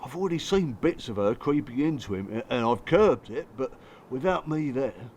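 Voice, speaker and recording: A man's voice: mid-range voice, expressive, moderate pace, a little mellow, slight background noise, natural voice. An actor playing a bitter, working-class English father in a radio drama, confessing his hurt in blunt, colloquial, strained speech. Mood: weary, hurt. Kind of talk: radio drama dialogue and monologue.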